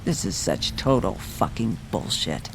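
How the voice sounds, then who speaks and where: A woman playing a bitter, hot-tempered grieving sister in a dark video game, confrontational and scornful. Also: a bass voice, sing-song, measured pace, vocal fry, background noise, exaggerated voice. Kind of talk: dramatic video game acting. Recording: music and rain behind the voice.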